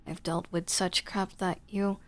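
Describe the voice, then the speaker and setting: A woman's voice: lowish voice, little pitch movement, a little slow, natural voice. A woman voicing a boastful, defensive young male vampire from a horror game, with sarcastic delivery. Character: cocky, prickly young male vampire, boastful tough guy.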